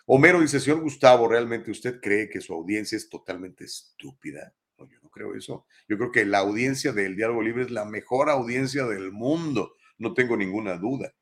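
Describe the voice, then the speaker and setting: Hombre de voz algo grave, acento mexicano. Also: male voice, fairly low pitch, moderate pace, fairly bright tone. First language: Spanish. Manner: casual, chatty, reads comments aloud and answers them. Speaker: talk show host. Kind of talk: live call-in talk show, reading viewer comments.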